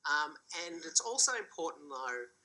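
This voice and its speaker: Man, industry spokesman on a panel, bright edge, earnest and pushing. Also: fairly high pitch, sing-song, moderate pace, poor audio. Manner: earnest, urging, with fillers. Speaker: panelist, industry body head. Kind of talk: conference panel remarks. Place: conference hall panel.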